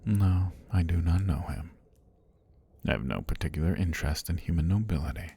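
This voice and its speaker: A man voicing an ancient serpent god, calm, predatory yet tender, in a slow, formal, whispery ASMR roleplay with a husky, breathy low voice. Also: a little hiss or hum. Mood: calm, curious. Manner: slow, deliberate, formal speech with whispery delivery. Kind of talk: intimate ASMR roleplay monologue.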